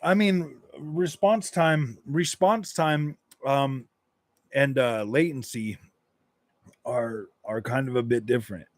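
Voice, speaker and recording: A man's voice: mid-range voice, slow pace, no room sound. A man chatting casually on a gaming live stream, answering his chat in a loose, rambling way that circles back and repeats phrases.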